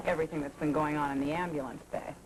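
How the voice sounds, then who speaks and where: A woman's voice: deep voice, fairly even intonation, quick pace, background noise, close to an everyday voice. An actress playing a poised, flirtatious professional woman in a 1980s daytime soap, talking in a teasing, self-assured way. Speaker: soap opera character.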